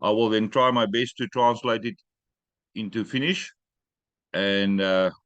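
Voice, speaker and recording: A man hosting an online training call, giving brisk instructions with joking asides. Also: on the low side, fairly bright tone, no echo, highs cut off.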